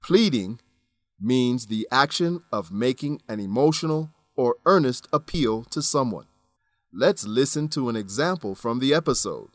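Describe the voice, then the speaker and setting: A man's voice: medium pitch, expressive, dry recording, soft background music. Instructional man, very dry studio sound. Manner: deliberate, instructional. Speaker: English lesson presenter. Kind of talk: scripted educational narration.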